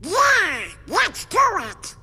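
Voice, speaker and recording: Cartoon duck, quacking, garbled, high-pitched, temperamental, slow delivery, long reverb, video game. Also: male voice, huge pitch swings, vocal fry, sharp tone, full character voice. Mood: eager, rallying. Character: Donald Duck, small temperamental male cartoon duck. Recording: long reverb, music behind. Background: game music.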